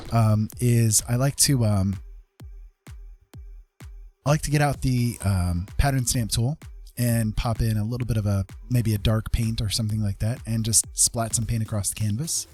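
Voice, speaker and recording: A man's voice: mid-range voice, a little mellow. A man chatting casually and laid-back on a livestream, thinking aloud as he paints. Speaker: streamer, digital painter. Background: piano music.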